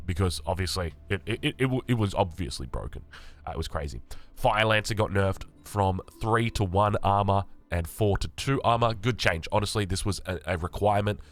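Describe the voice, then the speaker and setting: A young Australian man with a raspy voice, sick and just woken up, chatting casually as he reads through game patch notes. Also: lowish voice. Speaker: gaming channel host.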